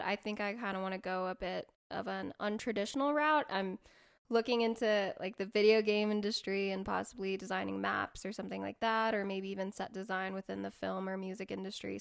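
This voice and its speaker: A woman in her twenties, co-hosting a podcast and chatting about herself in a relaxed way. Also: mid-range voice, a little fast, creaky, clean tone. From Colorado, USA. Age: 20s. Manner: relaxed, chatty, laughs between words. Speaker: podcast co-host. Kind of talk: casual chat.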